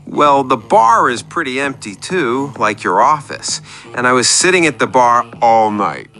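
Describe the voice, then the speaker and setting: An earnest, lonely male oddball overshares in rambling detail, played by a man for a radio comedy. His mid-pitched voice is bright and forceful, swinging widely in pitch, with music behind it. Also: big pitch swings, measured pace, heavily acted, low-quality recording. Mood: earnest, dreamy. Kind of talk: scripted radio comedy monologue.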